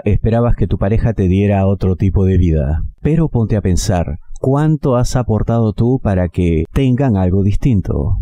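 A middle-aged man reading a weekly tarot horoscope into a headset mic, in measured, emphatic runs of phrases. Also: fairly low pitch, warm tone, dry recording. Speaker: tarot reader. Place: small studio with green screen.

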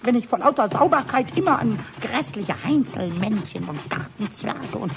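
A man in a 1970s radio play voicing a small, cheeky, childish kobold with a squeaky, raspy, stammering voice. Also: lively intonation, normal speed, noisy background, heavily acted. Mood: indignant, contrary. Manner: stammering repetitions, argues with childlike logic.

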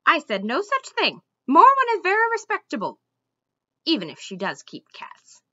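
A woman plays a huffy, opinionated mother squirrel in a children's storybook. She scolds and lectures with great emphasis. Her pitch leaps about, and the sound is bright and sharp. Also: wildly swooping pitch, normal speed, no echo, muffled recording, exaggerated voice. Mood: brisk, huffy.

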